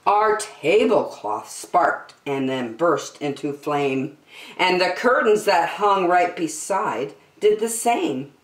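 In a roomy, echoing space, a middle-aged woman reads a rhyming storybook for children. Her voice sits a little low, and she goes very slowly, drawing words out with deliberate emphasis. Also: lively intonation. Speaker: children's story time host. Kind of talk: expressive read-aloud of a rhyming picture book for children. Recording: roomy indoor echo.

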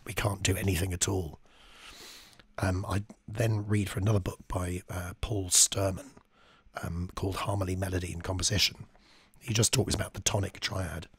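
A man whose voice is almost gone, breathy and half-whispered, sitting right up on the microphone. His tone is low and deep, and the sound is dry and close. He teaches in a thoughtful way, with many ums. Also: no echo. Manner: thoughtful, with frequent ums while reading quotes. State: lost voice, very hoarse from chest infection. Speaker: composer and music teacher. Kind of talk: screen-share music lesson.

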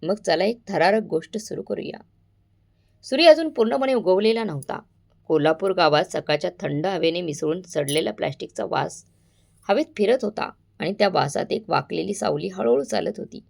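A woman's voice: lowish voice, lively intonation, normal speed, creaky. A woman narrating a scripted story in a dramatic, suspenseful way, addressing listeners directly.

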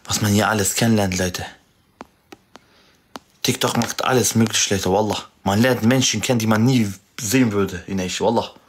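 A man's voice: lowish voice, steady tone, moderate pace, a little hiss or hum. A twenty-three-year-old man hosting a live stream, chatty and teasing, turning aside to talk to his viewers. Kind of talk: casual live stream chat.

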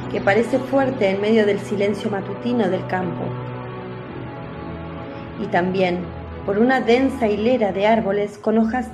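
A woman reading scripted narration for a biblical radio drama. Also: mid-range voice.

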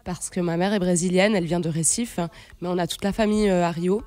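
A woman's voice: on the low side, steady tone, fast, mellow. A woman, chatting casually as an interview guest, searching for her words, close on a studio mic. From Switzerland, then Brazil as a teenager. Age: late 20s. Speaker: guest, singer-songwriter. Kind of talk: casual radio interview chat. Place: radio studio. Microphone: studio microphone on a stand, close.

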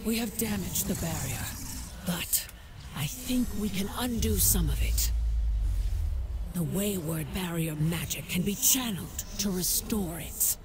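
A woman voicing a grave, resolute dragon warrior in a fantasy game, solemn and deliberate, with game music behind. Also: fairly low pitch, lively intonation, background noise.

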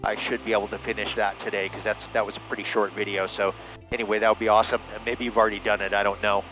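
A man chatting over ham radio, relaxed and enthusiastic, with lots of fillers, from a small plane cockpit and his radio room. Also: on the low side, quick pace, faint music behind, poor audio. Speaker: private pilot and ham radio operator. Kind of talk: casual radio chat.

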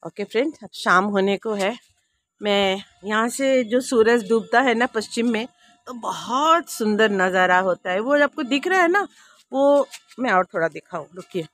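Older woman in her 60s, slightly high voice, chatty and homely, close dry phone sound on a rooftop. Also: lively intonation, moderate pace, fairly bright tone, no echo. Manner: chatty, homely, speaks directly to viewers. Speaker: vlogger. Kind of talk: casual rooftop garden tour. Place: outdoor rooftop garden. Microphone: handheld phone camera.

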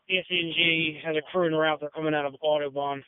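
A man dispatches fire units at a rapid clip, in slightly raised, procedural radio phrasing. The sound is dry and thin, as heard through a scanner's narrow band. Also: a little high, fairly even intonation, clean tone. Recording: phone-like dispatch radio audio.

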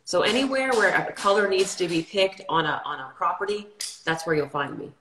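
A middle-aged woman from New Orleans talks through her work in a slightly low voice. She is articulate and unhurried, like a guest on a livestream interview. Her voice echoes, since she is talking over a video call from a room at home. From New Orleans, Louisiana. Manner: articulate, steady storytelling. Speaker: guest, color consultant.

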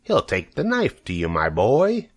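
A man voicing a gloating, greedy male rat with a husky, slow drawl. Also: medium pitch, wildly swooping pitch, pitched above the performer's own voice. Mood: sneering.

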